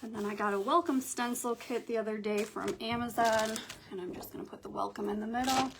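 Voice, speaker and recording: A woman's voice: on the high side, fairly even intonation, a little fast, creaky. Young woman crafting live, froggy morning voice, rambles w lots of ums, a little hiss behind. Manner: chatty, thinks aloud, frequent ums. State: hoarse morning voice. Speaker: craft livestream host. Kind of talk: casual crafting livestream chat.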